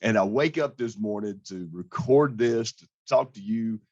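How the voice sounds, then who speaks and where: A hoarse man with a lowish, husky voice talks through a casual client update as a financial advisor. He explains things in a plain-spoken, conversational way. The sound is dry and close, on a slightly dull, lo-fi recording. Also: unhurried, a little mellow, no room sound.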